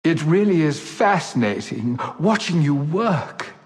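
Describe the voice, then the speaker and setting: A courtly gentleman officer in a video game, flirtatious and florid. His fairly high voice swoops expressively at an unhurried pace, trailing a long reverb. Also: big pitch swings, a little hiss or hum. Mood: courtly, wistful. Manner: flowery, courtly, teasing.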